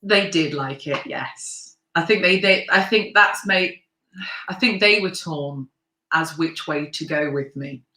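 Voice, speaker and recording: An English woman with a deep voice, a singer chatting as an interview guest, laughing easily and often restarting her sentences. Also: unhurried, pushed and bright, reverberant. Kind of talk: conversational interview.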